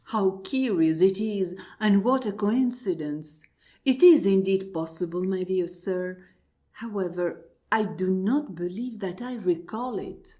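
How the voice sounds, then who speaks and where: A genteel lady acted by a woman in an absurdist radio play, with a mid-pitched voice. She marvels with formal politeness, drawing her phrases out slowly and repeating her exclamations. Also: mellow, natural voice. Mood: polite wonder. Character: genteel, wonderstruck married lady marvelling at coincidences. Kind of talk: absurdist stage dialogue played as radio drama.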